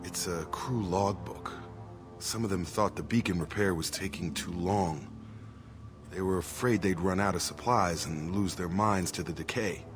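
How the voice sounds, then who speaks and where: A man's voice: low voice, unhurried. An actor playing a grim, plain-spoken soldier in a video game, brooding over his father's crimes. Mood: grim, troubled. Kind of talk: scripted video game dialogue. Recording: loud game music behind.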